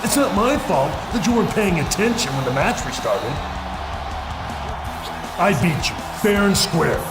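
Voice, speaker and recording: A man's voice: sits high, sing-song, a little slow, full character voice. A man playing a boastful, defiant champion wrestler delivering a challenge in a video game. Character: cocky, defiant male champion pro wrestler. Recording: echoey arena with music behind.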